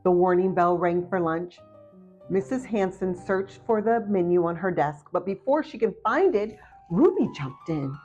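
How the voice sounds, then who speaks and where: A woman narrating a children's picture book aloud in an expressive storytelling style. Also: fairly low pitch, moderate pace. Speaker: storybook reader. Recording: muffled audio with faint music behind.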